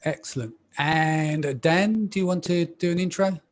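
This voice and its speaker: A man hosting a live podcast panel, chatty and relaxed with frequent ums. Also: fairly high pitch, a little slow. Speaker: podcast host.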